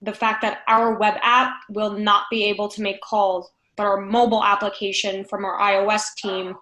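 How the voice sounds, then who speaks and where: A woman's voice: mid-range voice, level tone, moderate pace, sharp tone. Roomy-sounding woman explaining on a call. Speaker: student developer on the team. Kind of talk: explanatory work talk. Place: indoors at home, on a video call. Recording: roomy, echoing video-call audio.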